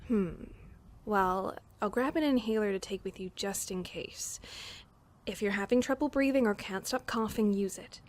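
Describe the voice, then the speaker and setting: A woman's voice: mid-range voice, normal speed, lightly acted. A woman playing a considerate young medic in an audio drama, casual and reassuring with some hesitation. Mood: matter-of-fact, reassuring. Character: busy, considerate young medic in a survivor camp. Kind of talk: amateur audio drama acting.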